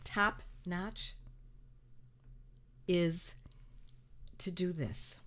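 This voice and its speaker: A woman with a raspy voice from draining sinuses, talking casually and emphatically as a homeopathy educator. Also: fairly low pitch, drawn-out words. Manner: animated, emphatic, repeats words for effect. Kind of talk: casual monologue.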